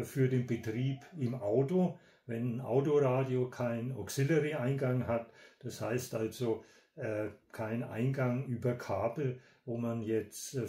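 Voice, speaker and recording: Slow, halting older man in an echoey room. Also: medium pitch, a little mellow. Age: 60s or older. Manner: halting, deliberate, with frequent hesitations. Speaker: presenter of a technical radio channel. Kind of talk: explanatory product demonstration. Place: small indoor room. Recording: echoey room, distant microphone.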